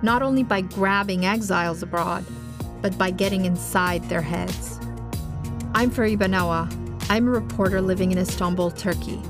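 A woman reporter from Afghanistan, a native Farsi speaker, narrating a documentary in a measured, serious way. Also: medium pitch. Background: music.

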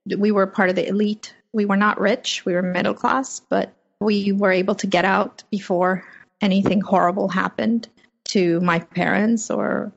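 Afghan-born woman, persian native, talks slow and thoughtful, husky voice over dull home mic. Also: mid-range voice, level tone. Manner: measured, reflective. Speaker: journalist, podcast guest. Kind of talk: interview over remote link. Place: home office room. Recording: muffled lo-fi remote audio.

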